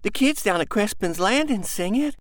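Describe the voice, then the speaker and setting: A man's voice: high-pitched, lively intonation, normal speed, no echo, voice pushed up. A man voicing a persistent four-year-old girl with a small piping voice. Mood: pleading.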